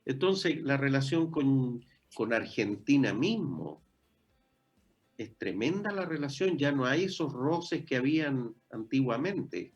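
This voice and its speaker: A Chilean man with a Chilean accent, talking casually on a radio discussion show and pausing often with fillers. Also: mid-range voice, very animated, slightly rough, lo-fi sound, faint music behind. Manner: conversational, many hesitations and fillers.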